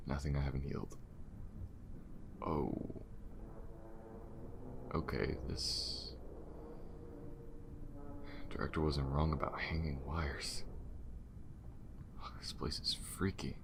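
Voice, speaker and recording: A man's voice: noisy background, exaggerated voice. A man voicing an injured, wary alien spacefarer in an ASMR audio roleplay, speaking in a hesitant, casual mutter with a wry edge. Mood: weary, reassuring. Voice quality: very deep, gravelly. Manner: hesitant, casual, muttering with sighs and half-whispers. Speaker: voice actor. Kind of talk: ASMR audio roleplay, one side of a conversation.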